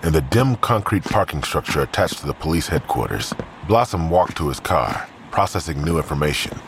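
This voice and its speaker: A man's voice: extremely low pitch, lively intonation, a little fast. A man reading scripted narration as the narrator of an audio drama. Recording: clearly noisy background ambience.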